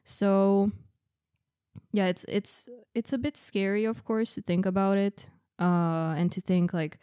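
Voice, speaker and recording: A woman's voice: mid-range voice, fairly even intonation, slow, a little mellow, clean tone, no echo, clean studio sound. A young woman co-hosting a podcast, chatting thoughtfully and saying "like" a lot. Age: young adult. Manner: chatty, reflective, uses "like" a lot. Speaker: podcast co-host. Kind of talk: casual chat.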